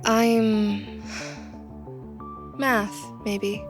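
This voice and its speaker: A woman playing an earnest, soft-hearted job candidate in a naturalistic audio drama, tentative and thinking aloud. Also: medium pitch, flat delivery, drawn-out words, over music. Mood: tentative, remembering.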